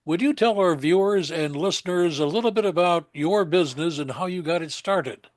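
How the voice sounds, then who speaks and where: A man hosting an interview podcast, reading a scripted intro and asking measured questions. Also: high voice, no room sound. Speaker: podcast host.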